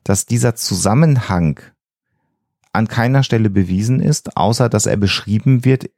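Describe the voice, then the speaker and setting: A man's voice: lowish voice, normal speed, darkish tone, no echo, clean studio sound. A man, co-hosting a podcast and explaining things in an earnest, emphatic way. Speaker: podcast co-host.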